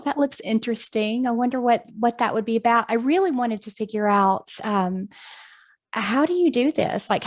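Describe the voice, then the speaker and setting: A woman from the United States, a guest in a video call interview who talks in a friendly, chatty way with many ums. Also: mid-range voice. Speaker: guest, hypnosis practitioner.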